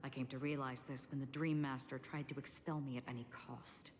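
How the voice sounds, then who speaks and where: A woman voice actor playing a solemn, resolute guide in a video game, grave and measured. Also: extremely low pitch, flat delivery, slight background noise. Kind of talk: scripted video game voice acting. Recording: reverberant game audio over music.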